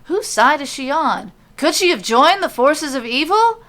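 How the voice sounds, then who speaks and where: A woman's voice: high voice, very animated, a little slow, a bit bright, pitched above the performer's own voice, full character voice. A woman voicing a suspicious man who questions insistently and dramatically in a story reading. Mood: alarmed suspicion.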